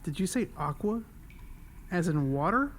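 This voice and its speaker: A man's voice: sits high, lively intonation, a little slow, a bit bright. A man voicing a young, curious new ranger who asks short, wondering questions.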